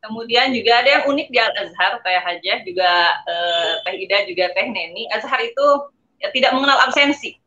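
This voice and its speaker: A woman's voice: on the high side, expressive, fairly quick, bright, forceful tone. A woman with an Indonesian accent, a guest speaker informally recounting and explaining personal experiences. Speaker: guest speaker, former student abroad. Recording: slightly dull, lo-fi stream audio.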